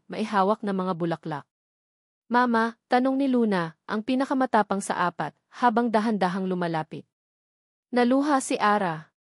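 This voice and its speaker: A Filipino woman tells a dramatic story in a sentimental, measured voice. Her pitch sits in the middle range for a woman. The sound is dry, with no room around it. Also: dry recording. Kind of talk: scripted dramatic story narration.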